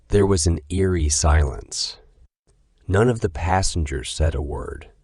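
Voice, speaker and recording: Low deep guy narrating a scary story, scripted read, super dry sound. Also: a little mellow, no room sound.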